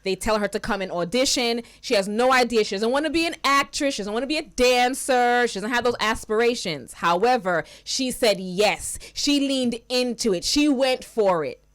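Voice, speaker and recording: A woman hosting an interview podcast, effusive and animated, hyping up her listeners. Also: on the high side, normal speed, few pauses. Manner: effusive, animated, hypes up the audience. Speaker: podcast host. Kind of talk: enthusiastic interview chat.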